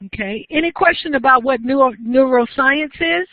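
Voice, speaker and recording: A woman giving a university lecture, explaining terms in a slow, deliberate way and pausing to invite questions. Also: sits high, no echo. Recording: tinny lo-fi dry classroom recording.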